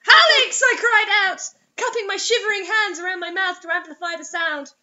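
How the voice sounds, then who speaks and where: A woman's voice: extremely high pitch, normal speed, sharp tone. A young adult woman reading a story aloud dramatically, with sarcastic, mocking commentary. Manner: theatrical, sarcastic. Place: small room indoors. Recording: dull lo-fi webcam-style sound.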